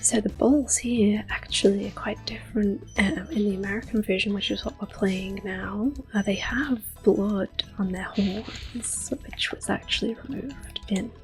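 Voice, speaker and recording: A woman giving gentle, mostly whispered ASMR commentary as she hosts a video game playthrough. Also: medium pitch, steady tone, a little slow, hardly any pauses, rough recording. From Europe. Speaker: host of a gameplay series. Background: quiet game music and controller clicks.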